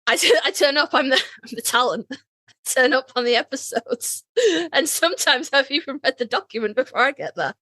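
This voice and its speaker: A woman's voice: sits high, sing-song, normal speed, pushed and bright, no room sound. A British woman co-hosting a podcast in casual chat, informal and sweary. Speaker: podcast co-host.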